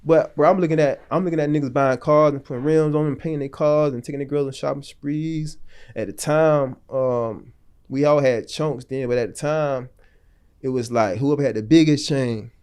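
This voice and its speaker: A man's voice: mid-range voice, steady tone, normal speed, a little mellow, clean tone. Laid-back man telling street stories. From North Florida. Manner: loose, slangy and rambling, with frequent restarts. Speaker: podcast guest. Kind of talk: casual storytelling interview.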